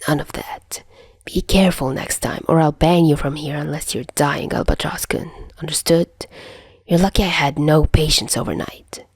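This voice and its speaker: A woman voicing a stern, weary male doctor with a weak, breathy tone, chiding but caring, in an audio story. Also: sits low, steady tone, normal speed, no room sound. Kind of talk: amateur voice acting in fan fiction reading.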